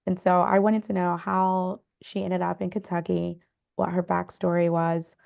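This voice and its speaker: A woman's voice: lowish voice, flat delivery, moderate pace, gravelly, lo-fi sound. A woman speaking as a guest author, telling her own story casually. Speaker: panel guest, novelist.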